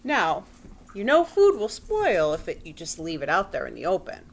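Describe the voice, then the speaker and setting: A woman's voice: fairly low pitch, wildly swooping pitch, normal speed, heavily acted. A woman voicing a defensive, sly wolf who tells his side of a storybook tale in a folksy, conversational way. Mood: self-excusing. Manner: folksy, conversational, exaggerated self-justifying. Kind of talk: storybook read-aloud in character.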